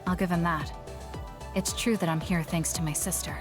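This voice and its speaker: Woman doing blunt composed anime game soldier girl, kinda low voice, measured, music under it. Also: fairly even intonation, lightly acted. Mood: calm, consoling. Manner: measured, frank, reserved. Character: cool, blunt, composed young female soldier. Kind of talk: English anime game dub acting. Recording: music playing behind the voice. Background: game background music.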